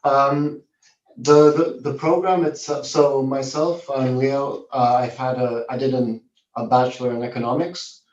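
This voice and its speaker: A man's voice: medium pitch, steady tone, measured pace, darkish tone, a little hiss or hum. A young man speaking fluently and articulately as an alumnus guest over a video call from an office. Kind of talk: casual remote testimonial. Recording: roomy, distant, dull video-call audio.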